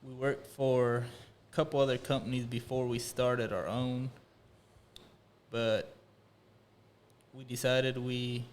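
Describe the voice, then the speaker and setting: Modest man with a mid-pitched voice. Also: little pitch movement, slow, long pauses, a little hiss or hum. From Costa Rica. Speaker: shed company co-owner, interview guest. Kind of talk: casual chat.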